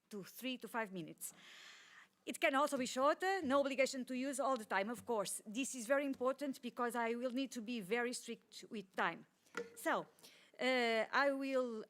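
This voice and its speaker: Higher-pitched woman moderating a formal session. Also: fairly bright tone. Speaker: session moderator at a political conference. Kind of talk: formal conference introduction.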